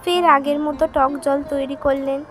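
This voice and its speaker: A woman's voice: high-pitched, fairly even intonation, measured pace, slight background noise. A woman reading scripted narration for a children's moral cartoon story.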